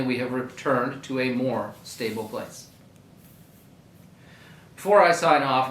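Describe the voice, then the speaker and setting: A man reads a prepared civic update in a mid-pitched voice. He is measured and plain-spoken, pausing deliberately between phrases. The room echoes around him, with a faint rumbling noise underneath. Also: a bit bright. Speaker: mayor giving a public address. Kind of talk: prepared public service update.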